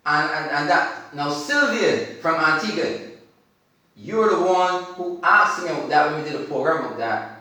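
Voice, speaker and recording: A man's voice: fairly high pitch, expressive, measured pace, bright, forceful tone. A man hosting a talk show in a conversational style, emphatic and given to repeating his phrases. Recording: very echoey room, far from mic.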